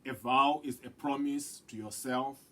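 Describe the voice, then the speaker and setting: A man voicing a wise, elderly Indian grandfather in a storybook, speaking in a measured, emphatic, instructive way. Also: mid-range voice, fairly even intonation, fairly bright tone. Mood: firm, questioning.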